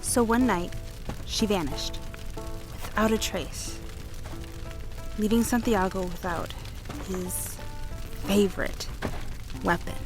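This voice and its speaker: Actress as a western sweetheart narrator. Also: female voice, medium pitch, takes it slowly, pauses often, over music, lightly acted. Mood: narrating, fond. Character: Aleta, beautiful songstress and devoted sweetheart narrating her western love story. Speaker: narrator and character. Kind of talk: western radio play narration and acted dialogue.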